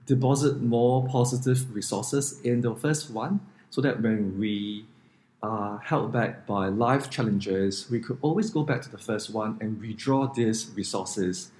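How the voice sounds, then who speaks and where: A man introduces his own podcast. His voice sits a little low, and he talks in an earnest, measured way, slowing down on the phrases he wants to stress. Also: darkish tone. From Singapore. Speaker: podcast host.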